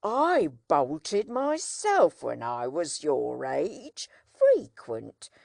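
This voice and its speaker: Slow earnest blacksmith man, kindly and plain-spoken, lilting up and down, as a woman reads him, in a storybook. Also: deep voice, wildly swooping pitch, creaky, a little mellow, dry recording, clean studio sound, heavily acted. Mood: earnest, worried. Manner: slow, earnest, confiding country speech. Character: kindly simple-hearted grown man, a village blacksmith.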